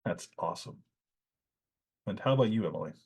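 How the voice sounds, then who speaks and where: Low-voiced easygoing male podcast host. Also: lively intonation, brisk, gravelly, darkish tone, slightly rough. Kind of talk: casual podcast chat.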